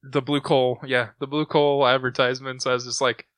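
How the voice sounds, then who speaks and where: Man, middle-aged, podcast co-host, bright sharp tone, mid pitch, dry close sound, casual chat. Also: flat delivery, normal speed, no echo.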